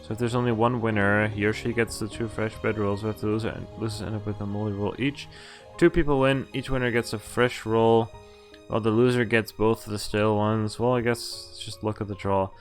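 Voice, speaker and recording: A man's voice: on the low side, steady tone, fairly quick, faint music behind. A man streaming a game, reading its story text aloud with casual, amused, sweary commentary.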